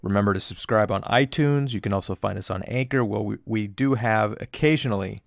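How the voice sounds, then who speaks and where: A hoarse man casually hosting a fantasy sports podcast, rambling with lots of fillers. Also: fairly low pitch, brisk, dry recording, clean studio sound. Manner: loose, rambling, many fillers. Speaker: podcast host, league commissioner. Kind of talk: casual solo podcast talk.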